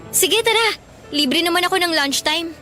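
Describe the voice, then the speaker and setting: An actress playing a cheerful, playful young student in a Filipino radio drama, bubbly and teasing, with a Filipino accent. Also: female voice, extremely high pitch, normal speed, pushed and bright, faint music behind. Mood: upbeat.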